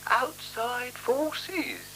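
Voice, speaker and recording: A man's voice: very high-pitched, extremely slow, bright, forceful tone, exaggerated voice, poor audio. A male actor in a radio drama playing a cowardly, self-excusing man, stammering, groaning and plaintive. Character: whining, cowardly, self-excusing man pleading under threat. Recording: 1970s radio recording with faint hum.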